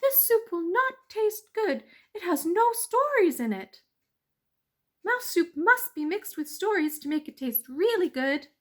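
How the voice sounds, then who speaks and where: A woman's voice: extremely high pitch, big pitch swings, measured pace, a bit bright, voice pushed up, heavily acted. A woman voicing a small, clever storybook mouse with an emphatic, coaxing delivery. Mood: alarmed, pleading. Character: small clever mouse, pleading yet quick-witted storyteller. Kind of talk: children's storybook character voice.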